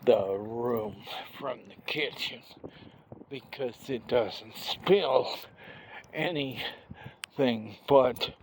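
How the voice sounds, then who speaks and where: A man with a gravelly voice from a stroke, talking slowly and haltingly in a casual vlog while out walking, recorded on a handheld phone. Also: medium pitch, slight background noise. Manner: rambling, many pauses and restarts. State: recovering from a stroke, slow effortful speech. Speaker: vlogger. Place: outdoors on a walk.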